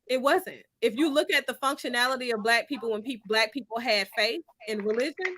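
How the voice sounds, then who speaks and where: A woman's voice: on the high side, a little fast, sharp tone, no echo. A woman from Finland speaking in an opinionated discussion, making her points in an emphatic, insistent and argumentative way.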